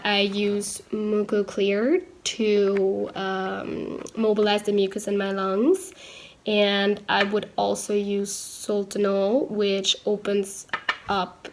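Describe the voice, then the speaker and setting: Measured, even-toned young woman. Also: mid-range voice, creaky, a little hiss or hum. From Austria. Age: 20s. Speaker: patient sharing personal experience. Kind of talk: personal testimonial to camera.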